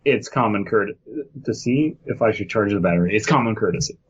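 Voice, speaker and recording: A man's voice: lowish voice, fairly quick, breathy edge, highs cut off. A man co-hosting a podcast, reading a horror story aloud with casual, crude, joking banter. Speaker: podcast co-host.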